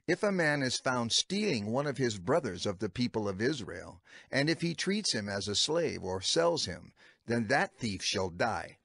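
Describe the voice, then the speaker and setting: Solemn old lawgiver for a dramatized scripture recording: measured, commanding declamation, very lively pitch, music bed behind. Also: male voice. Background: background music.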